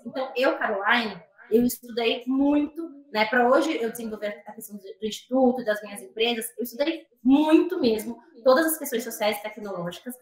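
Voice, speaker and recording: Woman guest, high and bright, earnest and reflective, echoey room. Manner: earnest, reflective, many fillers and restarts. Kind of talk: conversational discussion.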